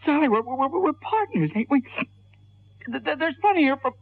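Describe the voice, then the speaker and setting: An actor playing a gullible, eager small-time crook in a mid-century radio crime drama, with a slangy, folksy, excitable manner. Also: male voice, very high-pitched, normal speed, vocal fry, dry recording, heavily acted. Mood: pleading, terrified. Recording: tinny old radio recording.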